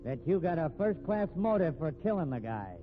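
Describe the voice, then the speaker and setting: A brash, needling detective with a fairly high voice grills suspects in streetwise slang. It is 1950s radio mystery acting, heard through a narrow, tinny old recording with some hiss. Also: male voice, sing-song, normal speed. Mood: sardonic, accusing.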